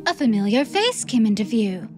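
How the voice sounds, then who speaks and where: A woman's voice: fairly high pitch, very animated, moderate pace, a little mellow, soft background music. A woman reading scripted fantasy storybook narration as an audiobook narrator.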